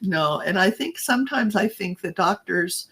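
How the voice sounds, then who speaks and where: A woman co-hosting a podcast, her voice scratchy and gravelly with a husky, breathy edge. She talks in a halting, reflective way, trailing off and restarting as she tells her own story. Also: medium pitch, animated, a bit bright. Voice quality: scratchy, raspy voice. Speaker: podcast co-host and coach. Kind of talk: personal storytelling in casual chat.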